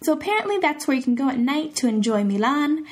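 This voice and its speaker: A woman's voice: sits high, sing-song, quick pace. A woman hosting a language lesson podcast in an informal, chatty way, sounding out example phrases carefully. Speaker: language podcast host teaching Italian phrases. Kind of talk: casual phrase lesson, conversational.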